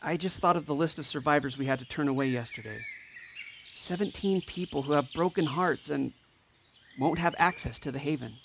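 A woman's voice: low voice, fairly quick, slight background noise. A woman playing an empathetic, anxious mentor and counselor in a fiction podcast drama, sincere and self-doubting. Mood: subdued.

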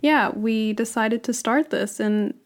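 A woman's voice: fairly high pitch, slow pace. Young woman from Hong Kong, earnest co-host. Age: 20s. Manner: earnest, lots of "you know", laughs easily. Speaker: podcast co-host. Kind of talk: casual chat.

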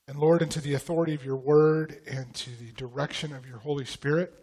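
A man from Idaho leading prayer and teaching at church, slightly raspy from a recent vocal cord infection, talking in a relaxed, loose way with many ums. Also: on the high side, a little fast. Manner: relaxed, conversational, frequent ums and throat clearing. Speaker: pastor.

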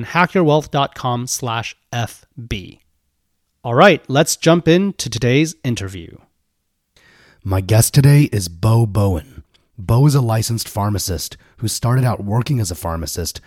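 A man with a hoarse voice hosting a finance podcast and interviewing a guest, upbeat in his intro. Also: mid-range voice, no echo, studio quality. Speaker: podcast host.

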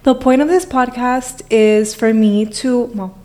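A woman's voice: a little high, a little slow, darkish tone. A woman in her twenties hosting a casual podcast in a bubbly, informal, teasing way. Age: 20s. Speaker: podcast host.

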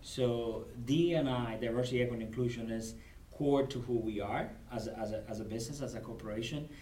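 A man from Cuba speaks with a Cuban Spanish accent, Spanish being his first language. He talks earnestly, often repeating words as he finds his way, at a middle pitch. He is recorded in a roomy space from some distance away, with faint noise behind him. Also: fairly quick, warm tone. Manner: earnest, repeats words while gathering thoughts. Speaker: guest, corporate supplier diversity director. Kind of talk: conversational podcast interview. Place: convention venue.

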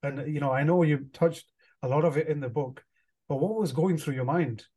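A man's voice: fairly high pitch, normal speed, dark tone, slightly rough. A man from England hosting a podcast, asking long, wandering questions full of "like" and "you know". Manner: rambling, searching questions with fillers and restarts. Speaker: podcast host. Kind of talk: casual interview.

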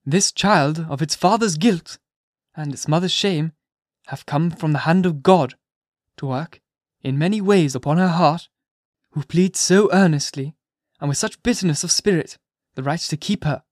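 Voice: mid-range voice, normal speed, dry recording, clean studio sound, close to an everyday voice. A reader voicing a careworn young minister who speaks sweetly, tremulous yet powerful. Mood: earnest.

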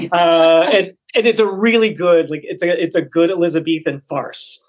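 A man's voice: fairly high pitch, fairly even intonation, unhurried. A man who directs a community musical, talking about the show enthusiastically as an interview guest, with frequent fillers. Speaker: theater director.

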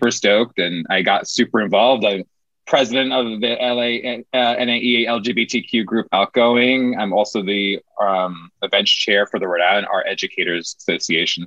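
A man's voice: fairly low pitch, bright, forceful tone, dry recording, dull audio. A man from New York, upbeat and joking, telling his story casually as an interview guest. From Bronx, New York, USA. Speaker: guest, high school film teacher.

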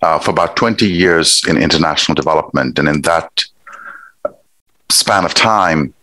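Low-voiced Nepali-raised man, measured. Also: a bit bright, no echo, rough recording. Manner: thoughtful, measured, with pauses and fillers. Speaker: guest, executive director. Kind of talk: conversational interview.

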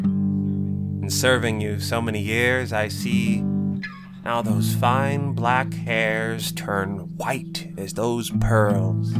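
An actor playing a smooth-tongued, scheming aging courtier in an audio drama, florid and deferential with an insinuating edge. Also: male voice, mid-range voice. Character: wily, smooth-tongued aging courtier, flattering his master.

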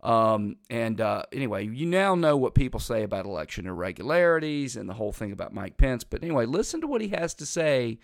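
Congested folksy talk host. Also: male voice, mid-range voice, sing-song, a little fast, creaky, few pauses, no room sound, studio quality. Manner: rambling, folksy, addresses listeners as folks. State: congested from a lingering cold. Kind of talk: casual political talk monologue.

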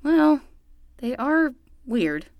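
A woman's voice: sits high, sing-song, drawn-out words, dry recording, highs cut off, exaggerated voice. A woman playing a sensible, skeptical young summer nanny in a naturalistic, dryly exasperated way for a fiction podcast. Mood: practical. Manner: dry, exasperated, practical.

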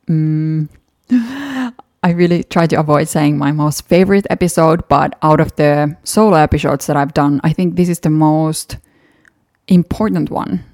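Low, mellow woman with a Finnish accent. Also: no echo, studio quality. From Finland. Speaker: podcast host. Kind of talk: solo podcast episode recap.

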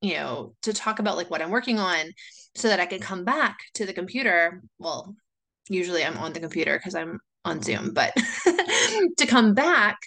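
A woman's voice: fairly low pitch, very animated, moderate pace, vocal fry, bright, forceful tone. A woman from the United States hosting a podcast, talking casually and chattily in a rambling way with lots of filler words. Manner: chatty, rambling, full of "like" and "you know" fillers. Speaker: podcast host. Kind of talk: casual solo podcast talk.

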